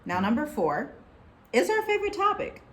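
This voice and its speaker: Animated, preachy American woman co-host. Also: sits high, normal speed. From United States. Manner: animated, preachy, quotes people in put-on voices. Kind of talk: casual chat with scripture references.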